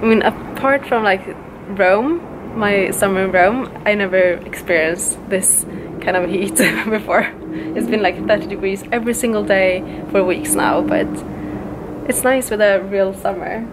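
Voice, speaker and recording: A woman's voice: medium pitch, a little slow. A young woman from Sweden with a Swedish accent, chatting casually and giggly to a handheld camera outdoors. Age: young adult. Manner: giggly, upbeat. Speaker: vlogger. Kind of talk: casual vlog chat. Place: outdoors, garden and wooded riverside path. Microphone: handheld camera at arm's length. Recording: noisy outdoor camera audio with ambience.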